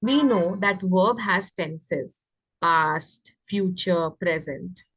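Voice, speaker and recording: A woman's voice: fairly low pitch, very slow, fairly bright tone, breathy edge, no echo, rough recording. A woman with an Indian accent teaching children English grammar, explaining and often asking questions to check understanding. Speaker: teacher of an online class.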